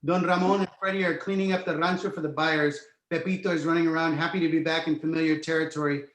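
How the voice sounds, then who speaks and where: Casting: male narrator for screenplay directions, slightly high voice, roomy echoing sound. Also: level tone, quick pace, a bit bright. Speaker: narrator reading stage directions. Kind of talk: screenplay table read narration, present tense.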